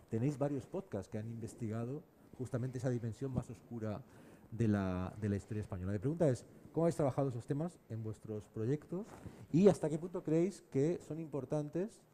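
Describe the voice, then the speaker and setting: A man moderating a cultural panel discussion in measured, halting phrases. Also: medium pitch, mellow, slight background noise.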